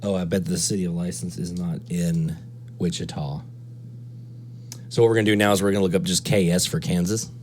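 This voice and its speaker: Low-pitched male tutorial presenter, casual, reasoning aloud step by step, slight hum and noise behind. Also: steady tone, brisk, darkish tone. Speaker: presenter of a radio DXing tutorial. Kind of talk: casual screen-walkthrough tutorial.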